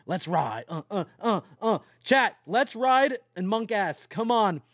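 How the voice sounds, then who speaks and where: A young male streamer with a high voice that swoops all over as he chatters to his chat while driving a game truck. He swears freely and yelps and laughs at every mishap. The sound is dry and close, with no room around it. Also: expressive, slow pace, fairly bright tone, no echo. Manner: addresses chat directly.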